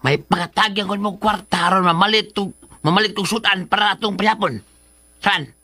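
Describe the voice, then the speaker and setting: An actor with a Filipino accent playing a villain's exasperated, chiding sidekick in a radio drama, with a thin, sharp voice. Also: male voice, sits high, brisk, dry recording, studio quality, heavily acted. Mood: grumbling. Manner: chiding, grumbling.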